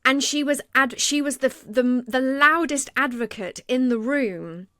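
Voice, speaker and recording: A woman's voice: high-pitched, a little slow, dry recording, studio quality. A woman co-hosting a podcast, telling a work story in a conversational way and acting out quoted lines. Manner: animated, acts out quoted speech. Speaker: podcast co-host.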